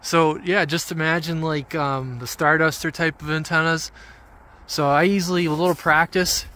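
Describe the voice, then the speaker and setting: A man giving a casual, off-the-cuff how-to explanation outdoors in an informal, rambling, halting way. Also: a little high, fairly even intonation, bright, forceful tone. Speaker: hobby radio vlogger. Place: outdoors among trees. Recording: outdoor handheld video with background noise.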